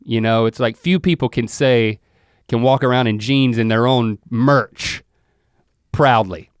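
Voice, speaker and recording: An easygoing man in a casual chat, talking in a relaxed, philosophical way. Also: medium pitch, unhurried, clear, resonant tone, no echo, studio quality.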